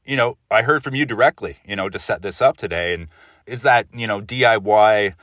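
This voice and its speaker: A man hosting a casual podcast interview, talking haltingly, repeating words and often saying "you know". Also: fairly low pitch, a bit bright, dry recording, distant mic.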